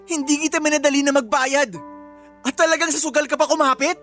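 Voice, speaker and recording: A woman's voice: sits high, fairly even intonation, brisk, fairly bright tone. A woman with a Filipino accent playing an angry, suspicious girlfriend in a radio drama, sarcastic and confrontational. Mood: angry, accusing. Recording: music under the voice. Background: background music.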